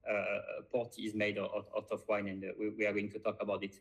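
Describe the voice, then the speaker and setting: Hesitant low-voiced man. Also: monotone, brisk. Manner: hesitant, many fillers and restarts. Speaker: podcast co-host. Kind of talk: casual chat.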